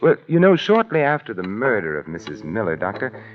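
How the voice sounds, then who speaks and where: An actor playing a suave, unflappable sleuth with urbane, dry, teasing wit, in a 1940s radio detective drama. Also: male voice, on the low side, wildly swooping pitch, brisk. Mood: wry, amused. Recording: muffled vintage 1949 radio recording.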